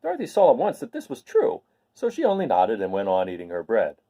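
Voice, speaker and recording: Husky man reading a story, very animated. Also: fairly low pitch, fast talker. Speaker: storybook reader. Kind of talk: expressive scripted narration of a children's story.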